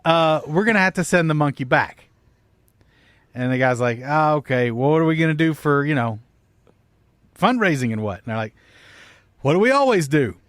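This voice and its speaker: Animated man telling a long joke. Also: on the high side, moderate pace, long pauses, no echo. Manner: profane, acts out quoted dialogue. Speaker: comedy podcast storyteller. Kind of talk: casual comic storytelling, telling a long joke.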